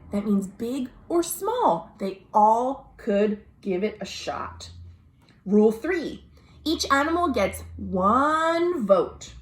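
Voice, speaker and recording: An American woman reading a children's story aloud, expressive and dramatic, pausing to explain things simply. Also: on the high side. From United States. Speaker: librarian, storytime host. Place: at home. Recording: roomy, echoey home recording.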